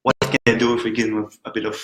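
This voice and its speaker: A man's voice: lowish voice, completely flat delivery, rapid-fire, a bit bright, roomy sound, a little hiss or hum. A man from Belgium with a Belgian accent, a startup founder telling his story in a casual interview, often saying "look" and "right?". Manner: chatty, peppers talk with "look" and "right?".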